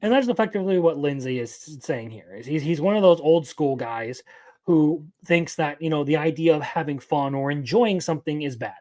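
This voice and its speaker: A man's voice: on the high side, lively intonation, normal speed, dark tone. A man in his twenties giving casual live-stream commentary as an anime news host, opinionated and rambling, answering his chat as he goes. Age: 20s.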